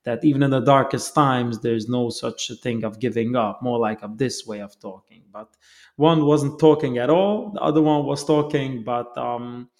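Gravelly earnest male interview host. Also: mid-range voice, moderate pace. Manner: earnest, reflective, searching for words. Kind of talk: casual conversation.

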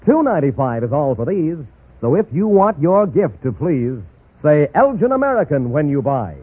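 A tinny old radio recording of a man reciting a rhyming sales pitch. His raspy, fairly high voice bounces with lively melody. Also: moderate pace. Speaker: advertisement pitchman. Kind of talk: rhymed 1950s-style radio commercial.

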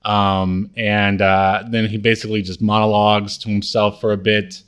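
A man co-hosting a podcast, casually recapping a TV show scene by scene in a halting, hesitant way. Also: fairly low pitch, level tone, a bit bright, clean tone. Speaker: podcast co-host.

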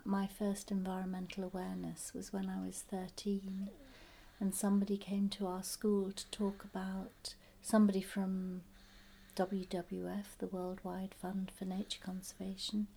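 A woman with a breathy, partly whispered voice recalling how she first became aware of the environment. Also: medium pitch, monotone, moderate pace, warm tone, slight background noise. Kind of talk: personal recollection.

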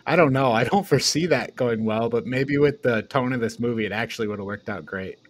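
A man with an average-pitched voice. He speaks in casual, conversational style as a podcast co-host discussing films and games. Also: a little fast.

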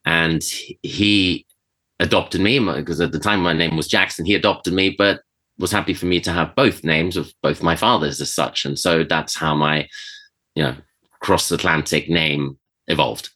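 A middle-aged man with a British accent, speaking as a chef in a relaxed, reflective interview, with frequent fillers and restarts. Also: sits low, moderate pace. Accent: British English. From Switzerland. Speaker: chef and podcast guest. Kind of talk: conversational interview.

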